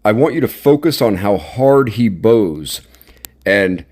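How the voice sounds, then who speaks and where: A man's voice: on the low side, expressive, takes it slowly, a little mellow. A man giving instructional commentary, speaking directly and encouragingly as he coaches. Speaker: instructor and video host.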